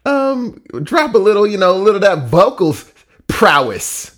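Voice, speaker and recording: A man with a fairly high voice chats as a podcast co-host. He is animated and slangy, telling stories in a joking way and slipping into acted-out quotes. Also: normal speed. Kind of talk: casual chat, storytelling.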